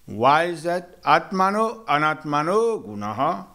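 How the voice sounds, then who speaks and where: A man from South Africa giving a morning sermon in a temple, slow and measured, with hesitant restarts and gentle humor. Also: fairly high pitch, sing-song. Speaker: monk giving a morning lecture. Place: temple hall.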